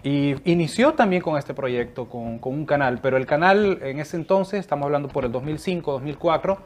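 A man's voice: fairly high pitch, a little fast. A Salvadoran man talking as a guest in a casual conversation, earnest and thoughtful, with many fillers. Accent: Salvadoran Spanish.

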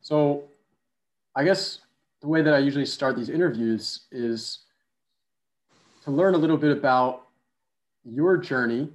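A man's voice: mid-range voice, unhurried, long pauses. Easygoing male podcast host. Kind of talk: casual interview.

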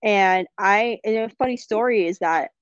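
A woman's voice: mid-range voice, normal speed, no room sound. A young woman chats casually as a podcast guest, laughing her way through personal anecdotes. The sound is close and dry, with a slightly dull, lo-fi edge. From United States. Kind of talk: casual chat.

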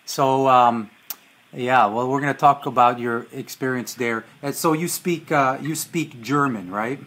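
A male host with a light Hungarian accent, speaking at an ordinary mid pitch. He chats loosely, stacking ums and restarting his sentences as he introduces his guest. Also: a little slow, fairly bright tone. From New Jersey. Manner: hesitant, many fillers and restarts. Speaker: podcast host. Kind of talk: casual interview.

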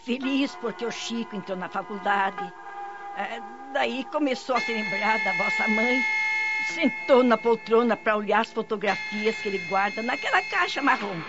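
A woman's voice: a little high, moderate pace, lightly acted. An actress playing a motherly, worried housemaid in a radio drama, hesitant and stammering as she talks. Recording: dull lo-fi radio drama, music behind.

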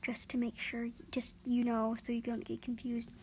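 A woman's voice: fairly high pitch, monotone, moderate pace, a little mellow, a little hiss or hum. A woman reading animal stories as an amateur storyteller, stumbling over and repeating words and adding explanatory asides.

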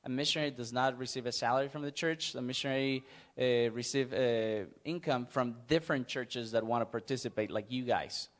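A middle-aged man speaks English with a Mexican accent at a medium pitch. He is a missionary guest answering questions, plain-spoken and a little halting, often repeating words as he explains. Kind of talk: informal interview before a church audience.

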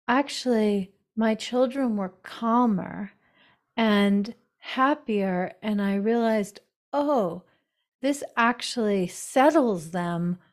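A middle-aged woman speaks as a guest author in a podcast interview. Her delivery is slow and unhurried, with an even mid pitch. She talks in a reflective, deliberate way.

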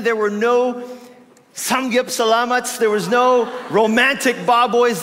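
Pastor, 40s, male, Tagalog first language; high voice, funny storytelling sermon, reverberant church hall. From Philippines. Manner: animated, humorous storytelling preacher. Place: church auditorium.

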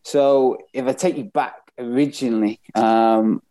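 A man's voice: mid-range voice, fairly even intonation, unhurried, dark tone, rough recording. A man with a Manchester accent, speaking candidly and reflectively as he tells his life story as an interview guest. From England, born in Bath and later moved north. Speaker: interview guest, professional footballer.

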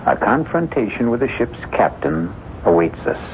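An actor playing a solemn, ironic storyteller, narrating a radio mystery drama in a measured, theatrical manner. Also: male voice, medium pitch, big pitch swings, very noisy, natural voice. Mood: portentous. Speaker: host and narrator. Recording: muffled vintage radio, loud music behind.

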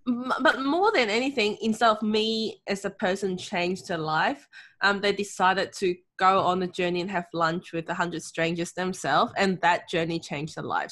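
A middle-aged woman from Hong Kong with a Hong Kong English accent, chatting in an interview, lively, often laughing, with frequent ums. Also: medium pitch. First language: Cantonese. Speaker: guest, author and confidence coach. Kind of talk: casual interview talk.

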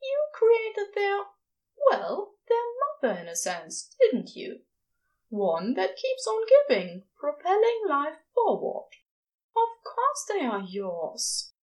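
A woman voicing a gentle, earnest male angel in a story, softly spoken and full of fond wonder. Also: high-pitched, wildly swooping pitch, slow pace, voice pushed up, full character voice, poor audio. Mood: firm, fond. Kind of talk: podfic character dialogue.

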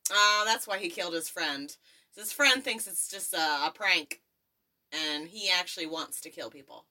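A woman's voice: lowish voice, moderate pace, sharp tone. A woman co-hosting a podcast, chatting casually and explaining the plot while watching a movie. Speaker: podcast co-host.

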